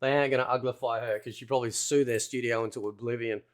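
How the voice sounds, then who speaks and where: A man's voice: medium pitch, steady tone, quick pace. A man hosting a gaming channel, giving casual trailer commentary in a rambling way, thinking aloud as he goes.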